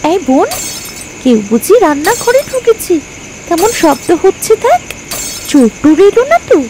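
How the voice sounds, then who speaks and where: A woman voicing a tender, wide-eyed young village girl in a cartoon moral story, exclaiming and pleading in drawn-out phrases. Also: very high-pitched, sing-song, dark tone, a little hiss or hum, heavily acted. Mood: alarmed.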